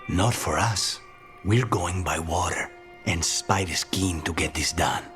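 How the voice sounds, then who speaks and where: A very deep male voice over clear music. He is a cautious, brooding assassin, grimly purposeful and terse, speaking as in a fantasy game cutscene. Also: measured pace. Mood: wary resolve. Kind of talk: video game cutscene acting.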